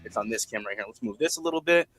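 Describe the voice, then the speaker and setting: A man's voice: on the low side, lively intonation, rapid-fire, a bit bright, soft background music. A man on a sports panel who talks casually and repeats phrases as he builds his point.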